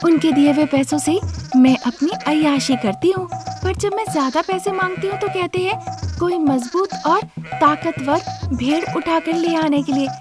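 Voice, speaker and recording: A woman plays a greedy young daughter-in-law in a cartoon moral tale. Her voice is very high and animated as she schemes and justifies herself. Music plays clearly behind her.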